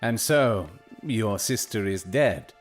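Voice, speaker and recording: A keen Victorian detective in an audio drama. His delivery is formal and commanding, with lively swings of pitch over a music bed. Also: takes it slowly. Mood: attentive. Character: incisive, courteous Victorian gentleman detective. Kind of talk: period audio drama acting.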